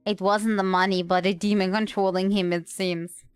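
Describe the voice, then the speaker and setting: A woman's voice: medium pitch, little pitch movement, normal speed, smooth, dry recording, faint music behind. A woman game streamer giving casual, playful, quipping commentary on a livestream.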